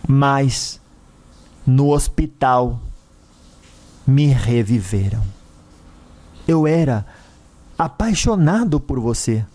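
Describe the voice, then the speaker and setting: A man's voice: mid-range voice, animated, darkish tone. A man voicing a cruel, vengeful dead husband in an audio story, a mocking spirit with a sneering, menacing manner. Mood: bitter, accusing. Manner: menacing, sneering, with drawn-out villain laughs.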